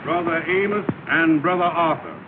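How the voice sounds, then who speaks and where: An actor playing a pompous lodge leader with a formal, ceremonious manner in a 1930s comedy film. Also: male voice, fairly high pitch, normal speed, natural voice. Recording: scratchy, narrow-band 1930 film soundtrack.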